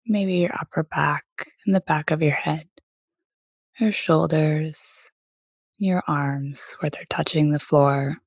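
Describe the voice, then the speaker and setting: Woman, meditation teacher, slightly low pitch, soft and gentle, frequent pauses, dry sound. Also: fairly even intonation, no room sound. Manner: gentle, unhurried, pausing often between phrases. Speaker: meditation teacher leading a body scan. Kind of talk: guided meditation.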